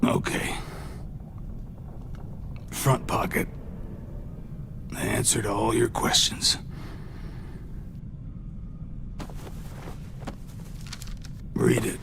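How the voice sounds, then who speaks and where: Game villain: injured middle-aged captive raider, heavily gravelled voice, sardonic and menacing, strained delivery, noisy capture. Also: male voice, fairly low pitch, little pitch movement, slow, heavily acted. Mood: sly, weary. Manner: taunting, profane, sardonic. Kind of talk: video game voice acting.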